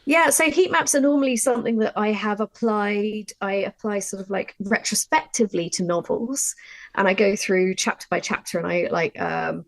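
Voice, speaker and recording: Creaky-voiced woman explaining her craft. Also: medium pitch, normal speed, no echo, rough recording. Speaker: audio drama writer and workshop presenter. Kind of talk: informal workshop explanation.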